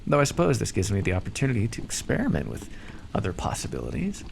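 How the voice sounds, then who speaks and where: A man playing a calm, condescending vampire captor in an ASMR roleplay, teasing and coaxing as he talks. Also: medium pitch, vocal fry, slight background noise. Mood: dry, grudging. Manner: teasing, patronizing, coaxing, hesitant stammers. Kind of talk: ASMR roleplay, scripted monologue.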